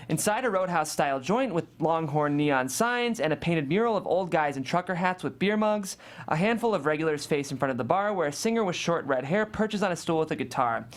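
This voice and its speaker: A man's voice: fairly high pitch, expressive, fairly quick. A man reading stage directions aloud as scripted narration at a table read of a screenplay.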